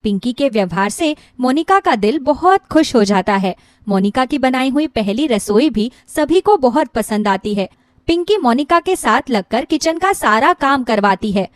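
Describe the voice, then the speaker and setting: Female storyteller: high, expressive, animated scripted narration for moral tales. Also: moderate pace, dry recording, studio quality.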